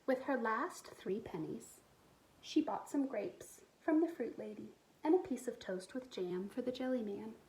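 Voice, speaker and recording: A woman with a fairly high, soft-edged mellow voice reads aloud for schoolchildren. Her storytelling is expressive, with explanatory asides suited to young listeners. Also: unhurried, slight background noise, rough recording. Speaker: storytime reader for a school community. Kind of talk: children's picture book read-aloud with asides.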